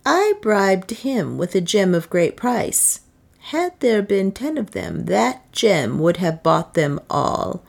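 A woman's voice: mid-range voice, sing-song, takes it slowly, gravelly, darkish tone, voice pushed up, exaggerated voice. A woman voicing a proud, bitter serving woman in an audiobook, with solemn, archaic, declamatory phrasing. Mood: bitter, reproachful.

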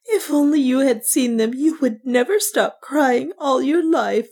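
A woman's voice: high-pitched, measured pace, vocal fry, a little mellow, pitched above the performer's own voice, heavily acted. A woman voicing an anguished, tearful young woman in an audiobook adventure story, wailing and gasping her lines. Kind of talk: dramatised audiobook dialogue.

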